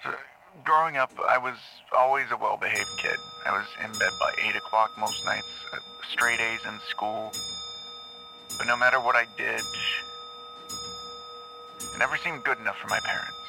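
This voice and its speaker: An actor playing a nervous, troubled man who speaks haltingly and apologetically in an audio drama. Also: lowish voice, vocal fry, music in the background, poor audio. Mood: troubled, confiding. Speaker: actor playing a therapy patient.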